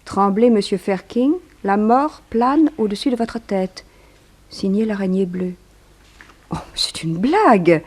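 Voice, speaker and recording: An actress playing a polite, inquisitive newspaper reporter in a 1960s French radio drama, poised and gracious. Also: female voice, medium pitch, lively intonation, takes it slowly, a little mellow. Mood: poised, curious.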